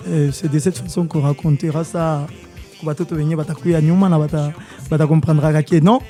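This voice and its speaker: A man's voice: fairly high pitch, fairly even intonation, fairly quick, warm tone, faint music behind. A man from Congo, a musician guest in a radio studio interview, mixing in Swahili and hesitating with many fillers.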